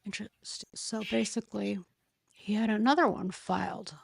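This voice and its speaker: A hoarse woman reading a court filing aloud and pausing to think aloud between passages. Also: medium pitch, no room sound. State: hoarse, losing her voice. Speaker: true-crime commentator.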